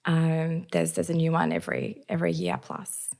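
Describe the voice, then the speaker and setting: A woman with a raspy, hoarse voice from a recent illness, talking reflectively as a podcast guest with many soft fillers. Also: sits low, sing-song, moderate pace. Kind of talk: casual interview chat.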